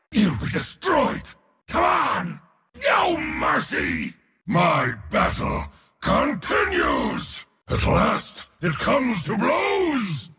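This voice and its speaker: An actor playing an arrogant, bombastic god of war in a video game, declaiming and gloating in a booming theatrical voice. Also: male voice, vocal fry, pushed and bright, big-room sound, exaggerated voice. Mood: battle fury. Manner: booming, declamatory, gloating, short battle cries.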